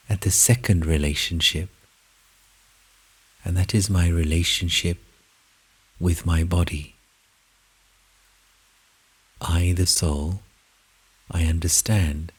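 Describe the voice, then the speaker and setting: A man speaks in a very low, extremely breathy and husky voice. He leads a guided meditation at a very slow pace, placing each short phrase deliberately and leaving long pauses between them. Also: fairly even intonation.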